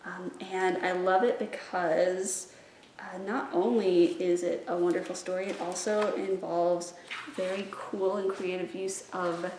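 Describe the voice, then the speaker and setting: A woman hosting a casual book recommendation video as a children's librarian, speaking in an enthusiastic, expressive way. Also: lowish voice, unhurried. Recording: echoey room with faint background noise.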